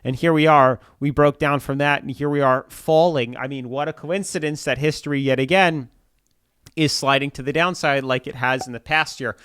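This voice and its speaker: A man's voice: medium pitch, fairly even intonation, moderate pace, a bit bright, smooth, no echo, studio quality. A man hosting a crypto channel, talking through market charts in casual commentary, a little congested from a cold, chatty and repetitive. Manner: chatty, repeats filler "like", pushes viewers to subscribe.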